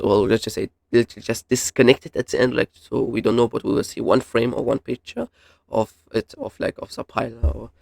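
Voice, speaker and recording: Man, casual explainer, halting and rambling, creaky voice, dry close sound. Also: medium pitch, a little mellow, no room sound. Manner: halting, rambling, self-correcting. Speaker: YouTube host reviewing horror videos. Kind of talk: casual unscripted explainer commentary.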